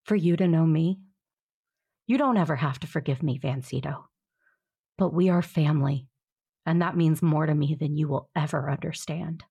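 A low, gravelly, steadfast warrior. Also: female voice, dark tone, full character voice. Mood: calm, steadfast. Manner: measured, earnest. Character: steadfast warrior woman, forgiving and quietly confident. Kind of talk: audio drama acting.

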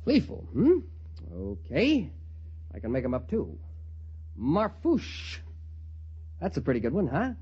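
A man's voice: fairly high pitch, huge pitch swings, slow, long pauses, warm tone, breathy edge, full character voice. A man playing a sardonic, unhinged would-be killer who swings between muttering and outbursts in a 1950s radio drama. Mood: flippant, playful. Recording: dull, lo-fi 1950s radio recording.

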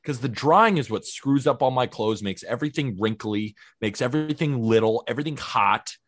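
A man's voice: medium pitch, moderate pace, no room sound. An upbeat man co-hosting a sports podcast, chatting with friendly enthusiasm. His voice sits mid-range and comes across dry and close, with no room sound around it. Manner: upbeat, energetic and positive. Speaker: podcast co-host. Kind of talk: casual sports podcast chat.

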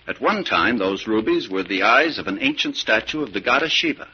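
An actor playing a suave, scheming young heir in a 1940s radio drama, with a theatrical, calculating manner. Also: male voice, medium pitch, lively intonation, brisk, breathy edge. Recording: muffled old 1940s radio recording.

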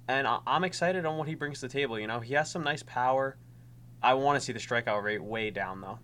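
A man's voice: medium pitch, sharp tone, slight background noise. A young man hosting a solo sports podcast, stuffy from a cold, talking casually with frequent restarts. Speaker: podcast host. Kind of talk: solo fantasy baseball podcast.

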